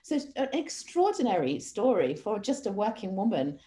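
A middle-aged woman with an English accent speaks in a soft-edged, mellow voice at a medium pitch. She tells her story as an interview guest in a casual, discursive way, with clear enthusiasm for her research. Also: big pitch swings, moderate pace. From Manchester, England.

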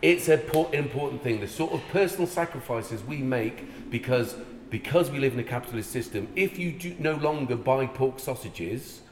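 A man originally from Ireland, hosting a casual podcast chat. He talks forcefully, cuts in often and mixes jokes with strong opinions. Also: mid-range voice, expressive, moderate pace, a little hiss or hum. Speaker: podcast host. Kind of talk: casual conversation. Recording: echoey room with long reverb.

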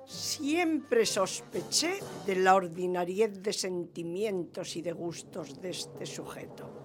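Actress as genteel older mother, radio drama: slow, disdainful, haughty, under loud music. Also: on the low side, lively intonation. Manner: haughty, measured. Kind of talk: radio theatre acting.

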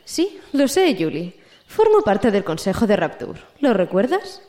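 A woman's voice: medium pitch, huge pitch swings, unhurried, mellow. A woman playing a sensible, questioning city council member in audio drama dialogue. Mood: reasoning, concerned. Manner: conversational, questioning.

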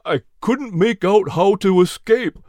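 A man voicing a hurt, weary male hound dog who talks haltingly, in a storybook reading. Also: high-pitched, darkish tone, no echo, studio quality, pitched above the performer's own voice. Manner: halting, dazed, hesitant.

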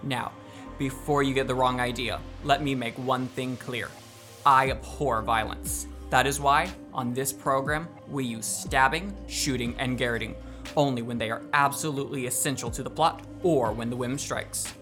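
A young man playing a droll, macabre radio playhouse host, deadpan and theatrically formal, in an old-time radio drama style. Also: mid-range voice, normal speed, over music, close to an everyday voice. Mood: mock-earnest. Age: young adult.

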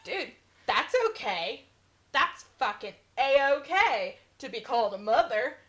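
A woman's voice: sits high, expressive, slow, a bit bright. A woman in her twenties co-hosting a podcast in casual chat, blunt and swearing freely, acting out other people's lines. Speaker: podcast co-host.

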